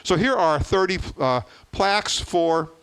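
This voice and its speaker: A man's voice: high voice, expressive, a little slow, a bit bright, smooth, poor audio. A man in his seventies giving an informal explanatory presentation as an organizer, folksy and plain-spoken, repeating words for emphasis.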